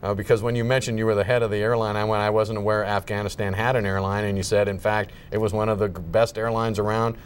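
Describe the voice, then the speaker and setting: A man's voice: fairly low pitch, little pitch movement, quick pace, few pauses, slight background noise. A man hosting a TV interview show, asking questions in a conversational way. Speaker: TV talk show host.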